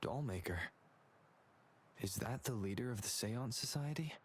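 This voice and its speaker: A man playing a skeptical onlooker in a video game, musing in a measured way. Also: medium pitch, expressive, slight background noise. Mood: wary.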